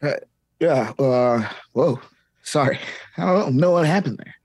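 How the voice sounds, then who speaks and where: A chipper kids' show host, read by a woman, sing-song and eager. The voice is low-pitched and a little husky, and it swoops animatedly. The sound is dry and close. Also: measured pace, darkish tone, no room sound, exaggerated voice. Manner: perky, sing-song children's TV host delivery. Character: upbeat kids' show host guiding viewers through clues. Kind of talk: comedic table read of a kids' show script.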